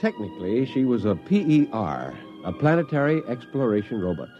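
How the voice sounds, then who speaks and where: An actor playing a grumbling, skeptical astronaut in a 1950s radio drama, wry and plain-spoken. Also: male voice, mid-range voice, big pitch swings, fairly quick, over loud music, natural voice. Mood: wry, recounting. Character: grumbling, skeptical male astronaut narrating his tale. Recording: muffled 1950s radio recording.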